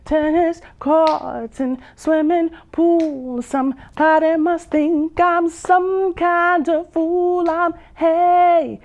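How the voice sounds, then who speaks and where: A woman poet delivering spoken-word verse very slowly, stretching phrases and humming between lines. Her voice sits high. The recording sounds dull and lo-fi. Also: fairly even intonation, darkish tone. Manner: slow, drawn-out delivery with long pauses and hums. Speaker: poet and vocalist guest. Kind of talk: slow spoken-word poetry with sung lines.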